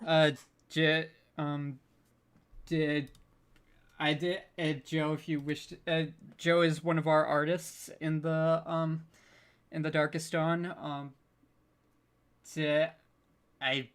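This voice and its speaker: A panel host chatting casually on an online group call, haltingly, stammering and repeating the beginnings of words. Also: medium pitch, flat delivery, clear, resonant tone.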